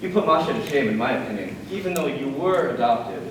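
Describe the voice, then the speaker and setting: A man's voice: mid-range voice, animated, fairly quick, close to an everyday voice. A young man acting a weary, sarcastic middle-aged brother in a live amateur stage comedy. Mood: dry, resigned. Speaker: actor in a school play. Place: large indoor stage in an auditorium. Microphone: distant camera microphone. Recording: echoey auditorium from far off, noisy.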